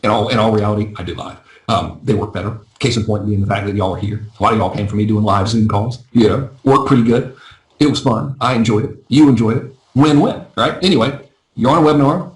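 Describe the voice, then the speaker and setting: A man coaching a live webinar in a chatty, self-deprecating way, his voice going a little hoarse. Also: lowish voice, reverberant, poor audio. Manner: fast, chatty, self-deprecating, often asks "right?". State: losing his voice. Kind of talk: casual live webinar teaching.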